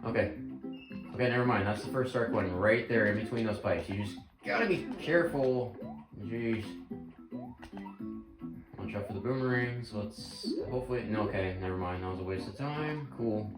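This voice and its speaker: Gaming host, man, low-ish voice, voice going, casual self-correcting commentary over game music in a roomy space. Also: moderate pace. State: losing his voice, clearing throat. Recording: echoey room with game music.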